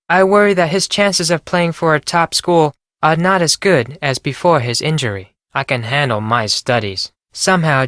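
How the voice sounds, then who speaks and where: A man acts as an earnest teenage exchange student in a video game. He has a Japanese accent, a medium-pitched voice and formal, careful, anxious delivery, recorded close and dry. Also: fairly bright tone, no room sound, lightly acted. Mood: desperate, remorseful. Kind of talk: scripted video game voice lines.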